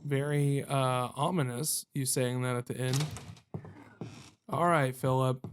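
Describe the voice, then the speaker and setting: A mid-pitched man commentates on a game in a casual, slangy way. He jokes at his own expense. Clear game noise runs behind his voice. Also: fairly even intonation, slow pace. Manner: joking, self-deprecating, slangy. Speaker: gaming video host. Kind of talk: casual let's-play commentary.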